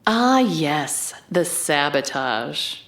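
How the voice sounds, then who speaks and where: A woman's voice: low-pitched, very animated, very slow, a bit bright, exaggerated voice. A woman playing a bold, wry investigative reporter who narrates her case in a dramatic, noir-style audio drama. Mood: calm, probing.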